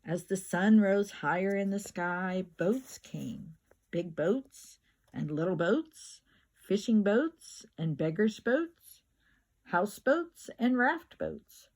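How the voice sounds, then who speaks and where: An older woman with a husky voice narrates a children's story at a very unhurried pace. She reads expressively, stretching out words and leaning on repeated phrases, as a grandmother would. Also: medium pitch. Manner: slow, expressive storytelling with drawn-out words and emphatic repetition. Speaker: grandmother reading aloud. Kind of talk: children's picture book narration.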